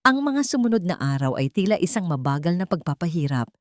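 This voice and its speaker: A woman's voice: lowish voice, sing-song, quick pace, dry recording, clean studio sound. A woman narrating a scripted romantic story in an emotive, dramatic way. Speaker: narrator of romantic audio stories.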